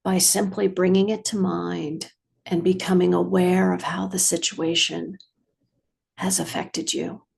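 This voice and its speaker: Woman, very slow pacing, husky, soothing guided meditation, long pauses. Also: fairly low pitch, level tone, darkish tone. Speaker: meditation teacher.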